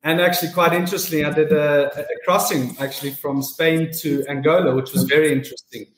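A man's voice: on the high side, steady tone, brisk, a little hiss or hum. A man answering casually as an interview guest, often repeating words as he goes. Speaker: business development manager, interview guest. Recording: roomy, echoing sound.

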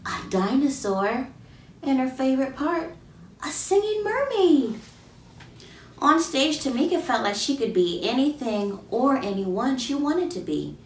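A woman in her thirties reads a children's story with expressive, drawn-out emphasis. Her voice sits a little high. It is recorded in an echoey room, slightly away from the mic, with faint noise behind her. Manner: expressive, drawn-out emphasis, questions to young listeners. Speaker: children's book author reading aloud. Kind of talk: picture-book read-aloud for children. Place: small plain indoor room. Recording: roomy, distant mic, faint background noise.

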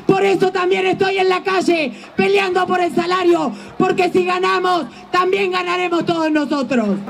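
A middle-aged woman with an Argentine accent giving an impassioned, forceful rally speech outdoors into a handheld microphone, as a union leader. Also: very high-pitched, steady tone, normal speed, gravelly, soft background music. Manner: impassioned, forceful shouted oratory. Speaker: teachers' union leader and legislator. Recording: outdoor microphone with faint noise.

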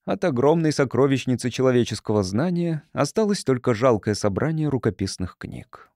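A man's voice: mid-range voice, animated, normal speed, darkish tone, dry recording, studio quality. A man reading literary fiction as a scripted audiobook narration.

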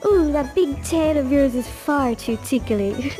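A woman's voice: high-pitched, expressive, a little slow, mellow, faint music behind. A woman playing a coy, giggly female sunflower in a video game, drawing out her words. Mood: coy, ticklish. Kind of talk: video game cutscene acting.

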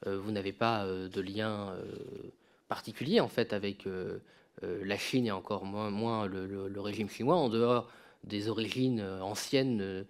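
A young man chairing a parliamentary hearing, asking a witness long questions into a desk microphone in a large committee room, pausing on many fillers. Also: on the low side, fairly even intonation, clean tone. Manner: searching for words, many fillers. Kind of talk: formal questioning.

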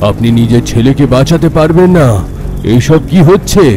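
A man's voice: mid-range voice, moderate pace, close to an everyday voice. A man playing a worried bearded sage. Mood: alarmed. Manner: worried, questioning. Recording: with loud background music.

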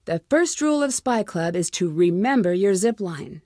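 A woman's voice: medium pitch, expressive, moderate pace, dark tone, no room sound, close to an everyday voice. Actress as inquisitive young female sleuth for an adventure game: earnest, plucky musing to herself, close dry sound. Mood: musing, wry. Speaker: lead character in a detective adventure game. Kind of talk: scripted game dialogue, thinking aloud.

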